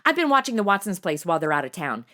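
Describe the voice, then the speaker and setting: A woman's voice: lowish voice, sing-song, rapid-fire, fairly bright tone, a little hiss or hum. A woman playing a chatty, cheeky, jittery modern young woman in a comedy audio drama, slangy and over-caffeinated. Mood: casual, breezy.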